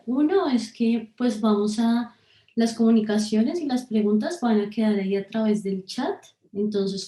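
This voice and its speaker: A woman's voice: mid-range voice, dark tone, reverberant. A woman researcher leading an online workshop, speaking hesitantly with many fillers over a video call. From Colombia.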